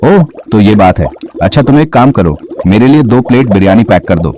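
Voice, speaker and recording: A man's voice: on the low side, lively intonation, fairly quick, close to an everyday voice. A man playing a kindly, appreciative regular customer in a cartoon story. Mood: curious. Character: kindly adult male biryani customer, generous, appreciative. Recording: clear background noise.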